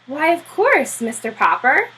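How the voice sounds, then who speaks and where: A woman's voice: high-pitched, huge pitch swings, unhurried, sharp tone, voice pushed up, full character voice. A woman voicing a hearty male admiral who leads polar expeditions in a storybook, speaking emphatically. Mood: surprised, insistent.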